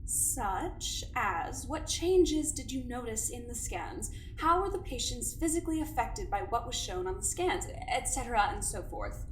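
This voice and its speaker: A woman's voice: fairly high pitch, very animated, measured pace, few pauses, reverberant, slight background noise, poor audio. A woman playing a coolly polite, imperious corporate doctor in an audio drama, speaking in formal, precise, condescending sentences. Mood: politely probing. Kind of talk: audio drama acting, dictated message and interrogation.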